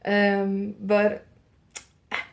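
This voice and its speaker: A woman's voice: mid-range voice, completely flat delivery, extremely slow, vocal fry. A woman with a Swedish accent hosting an improvised, radio-style audio show. She chats on her own, musing aloud and turning to her listeners with curious questions. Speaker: host of an audio advent show.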